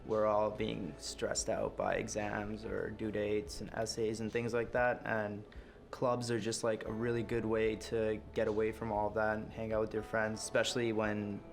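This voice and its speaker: Guy student, low gravelly flat voice, dry and matter of fact. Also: moderate pace. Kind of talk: casual roundtable talk.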